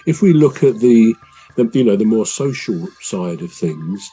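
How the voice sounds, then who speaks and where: A man with a fairly low, mellow voice co-hosts a podcast in relaxed conversation. He wanders through his points with ums and self-corrections. Soft music sits faintly underneath. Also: unhurried, husky, rough recording. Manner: thoughtful, rambling, with frequent fillers and restarts. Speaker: podcast co-host. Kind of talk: casual podcast chat.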